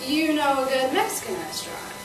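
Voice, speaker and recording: A woman performing a comedic stage monologue as an anxious, volatile first date, halting and stammering. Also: high-pitched, sing-song. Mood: awkward, nervous. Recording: noisy, echoey stage, distant mic.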